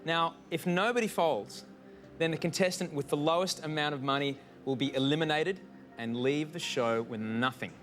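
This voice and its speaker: Bright, high-voiced Australian male host. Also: moderate pace, soft background music. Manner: energetic, announcing. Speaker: game show host. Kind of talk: upbeat game show hosting.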